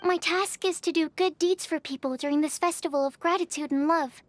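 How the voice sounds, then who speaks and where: A woman voicing a shy, earnest young female alchemist in a video game, speaking hesitantly and gently. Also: very high voice, fairly even intonation, a little fast. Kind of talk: video game cutscene voice acting. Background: music.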